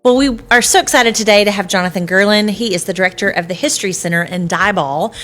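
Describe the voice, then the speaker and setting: A woman's voice: mid-range voice, fast, a bit bright. A woman hosting a chamber of commerce podcast, upbeat and chatty with frequent filler words. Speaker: host, chamber of commerce president.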